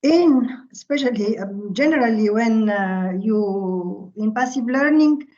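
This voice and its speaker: A woman from Tunisia, an Arabic speaker, giving a workshop lecture over a video call, halting and pausing often with fillers. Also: a little high, lively intonation, a little mellow. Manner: halting, with many filler pauses. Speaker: visiting lecturer. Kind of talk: online workshop presentation. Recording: muffled video-call audio.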